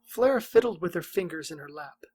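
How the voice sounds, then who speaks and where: A woman's voice: deep voice, expressive, moderate pace, warm tone, breathy edge. A woman reading scripted narration for an audio drama. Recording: music behind the voice.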